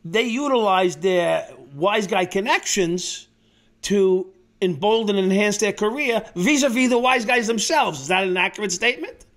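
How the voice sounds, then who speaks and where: A man's voice: sits high, moderate pace, a bit bright. A man co-hosting a casual interview, joking, rambling and laughing often. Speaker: co-host, ex-detective. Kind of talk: casual chat.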